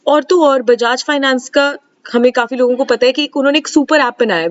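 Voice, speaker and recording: A woman with an Indian accent and a fairly high voice explains financial material as a presenter. She moves back and forth between Hindi and English. The recording sounds slightly dull and lo-fi. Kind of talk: explanatory stock analysis presentation.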